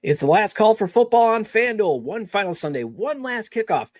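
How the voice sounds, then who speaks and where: High-voiced man reading a podcast ad. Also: very animated, moderate pace, no echo. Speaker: sports podcast host reading promos. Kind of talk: scripted ad read.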